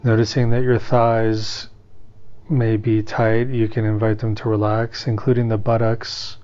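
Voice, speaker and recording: Calm, flat-toned man guiding meditation. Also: fairly low pitch, lo-fi sound. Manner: calm, unhurried, soothing, with long pauses. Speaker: meditation teacher. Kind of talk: guided meditation, slow instructional speech.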